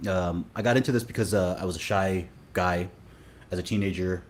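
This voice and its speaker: Man, very low voice, quick relaxed talk, fillers, faint low rumble behind. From Sudan. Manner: fast, relaxed, many fillers and restarts. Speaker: dating coach. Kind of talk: casual interview talk.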